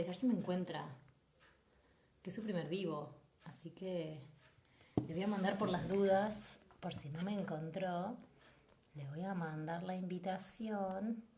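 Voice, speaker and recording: A woman's voice: fairly low pitch, a little hiss or hum. A woman with an Argentine accent hosting a casual live stream, greeting viewers and chatting with her guest. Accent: Argentine Spanish. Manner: lively, greeting viewers warmly. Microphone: video call. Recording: roomy echo.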